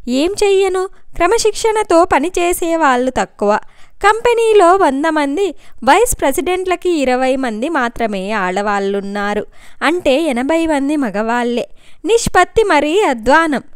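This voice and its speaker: Woman voicing an overworked, high-voiced working wife. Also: lively intonation, measured pace, dry recording, clean studio sound. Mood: frustrated. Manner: weary complaining. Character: overworked, frustrated wife in her forties, company director.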